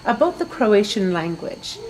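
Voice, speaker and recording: Woman moderator, mid-pitched, unhurried and encouraging, faint hiss behind. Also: sing-song, a little mellow, rough recording. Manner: slow, deliberate, encouraging questions. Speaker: interview moderator. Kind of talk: interviewing.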